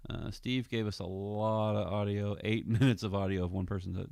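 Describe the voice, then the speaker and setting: A man chats easily through his vlog as an audio drama director. His voice sits a little low, with an amused, relaxed delivery in a dry, close recording. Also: moderate pace, creaky, no echo. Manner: amused, easygoing. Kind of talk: casual vlog commentary.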